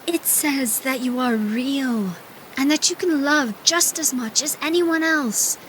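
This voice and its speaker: A woman's voice: high-pitched, slow, a bit bright, a little hiss or hum, poor audio. A woman playing a fiery, fiercely kind young chief, speaking boldly and emphatically in an audio drama. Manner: bold, emphatic, encouraging.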